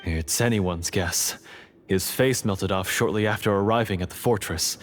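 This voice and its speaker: A fairly low-voiced prison guard, played by a man, brisk and blunt, yet polite to the nurse. Game dialogue with music behind. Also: lightly acted. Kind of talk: game voice acting.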